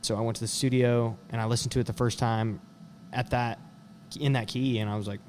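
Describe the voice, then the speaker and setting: A man's voice: mid-range voice, steady tone, brisk, noisy background. Easygoing young man. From West Texas. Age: young adult. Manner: easygoing, with frequent "uh" fillers. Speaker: singer-songwriter guest. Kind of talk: casual interview answers.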